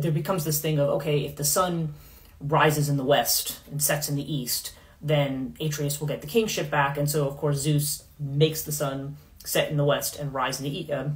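A middle-aged woman hosting a casual livestream in her living room, rambling with many fillers and restarts, her voice going hoarse. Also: low voice, steady tone, moderate pace. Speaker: podcast host. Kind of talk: casual livestream talk on myth.

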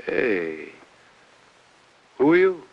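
A man's voice: medium pitch, very animated, drawn-out words, muffled recording, slight background noise, full character voice. An American actor in his thirties playing a cocky, flirtatious, wisecracking military pilot in a 1950s Hollywood film. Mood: teasing. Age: 30s. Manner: wisecracking, smooth-talking.

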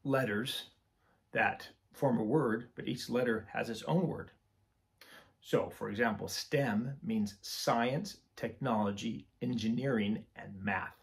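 A middle-aged man hosts a children's storytime with a teacherly, expressive delivery. He takes his time over each phrase, in a mid-pitched voice with a good deal of gravel in it. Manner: deliberate, teacherly explaining and expressive reading to children. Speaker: picture book read-aloud host. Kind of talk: children's storytime read-aloud, scripted. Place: small room with shelves of picture books.

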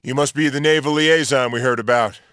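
An actor playing an American squad leader in a war game, giving calm commands. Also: male voice, medium pitch, a little fast, clear, resonant tone, no room sound, clean studio sound, natural voice. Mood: commanding. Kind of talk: game battle dialogue.

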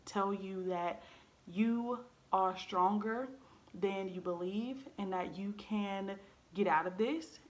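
A woman around twenty, speaking candidly to camera from her living room, earnest and blunt, with frequent ums and likes. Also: medium pitch. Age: 20s. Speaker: vlogger. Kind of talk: candid personal storytelling to camera. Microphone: camera in front at desk height.

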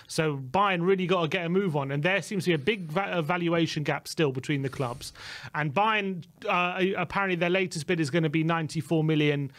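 Guy with a high voice, earnest, explaining football stuff on a fan show. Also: brisk, fairly bright tone.

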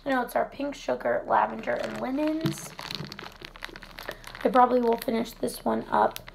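A hoarse woman chatting casually through a product review vlog in her home kitchen, recorded on a phone camera set on the table. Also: on the high side, normal speed, noisy background. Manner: chatty, relaxed, many fillers. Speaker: wax melt reviewer.

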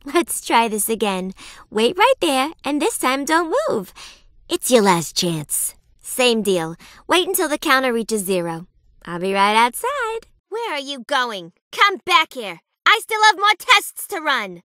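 An actress playing a perky but sinister reaper nurse in a video game, sugary and polite with menace underneath. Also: female voice, on the high side, sharp tone, no room sound, clean studio sound, heavily acted. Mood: cold, threatening. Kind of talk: theatrical video game character acting.

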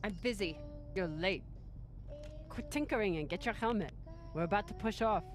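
A woman's voice: medium pitch, expressive, normal speed, faint music behind, lightly acted. An actress playing a tough, commanding female first officer of a spaceship in a video game, speaking curtly and with authority. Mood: cold, impatient. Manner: curt, authoritative. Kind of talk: video game cutscene acting.

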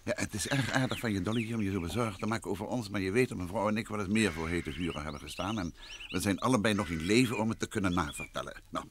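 An actor playing a genial, self-assured gentleman detective in an old Dutch radio play, courteous and probing. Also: on the low side, fast talker, close to an everyday voice. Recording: noisy vintage radio recording.

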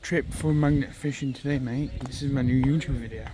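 A man's voice: medium pitch, fairly even intonation, brisk, dark tone, a little hiss or hum. A young man, chatting casually outdoors while magnet fishing with a friend, swearing and calling him bro and mate. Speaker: vlogger. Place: outdoors on a canal towpath.

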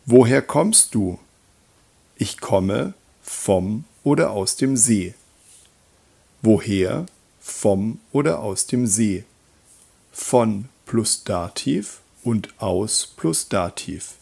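A man teaching German grammar in a slow scripted voice-over, reading example sentences and repeating them. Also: on the low side, animated, vocal fry, warm tone, breathy edge.

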